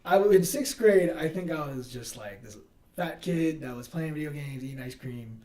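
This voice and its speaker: A man's voice: on the high side, expressive, moderate pace, warm tone. A man hosting a casual, slangy conversational interview. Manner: slangy, peppered with "man", "dude" and "like". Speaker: podcast host. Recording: roomy, echoing sound.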